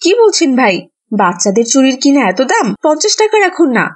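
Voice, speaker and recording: A woman's voice: high voice, big pitch swings, quick pace. A woman voicing an exasperated village mother in a cartoon, with a scolding manner. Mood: haggling. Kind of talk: cartoon voice acting.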